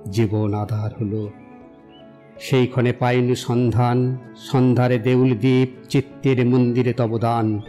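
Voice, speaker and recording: An actor playing a self-assured young romantic in an audio drama, speaking in a poetic, declamatory and philosophizing way. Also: male voice, mid-range voice, little pitch movement, measured pace, dark tone, close to an everyday voice. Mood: solemn, poetic. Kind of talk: dramatized audio story acting. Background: background music.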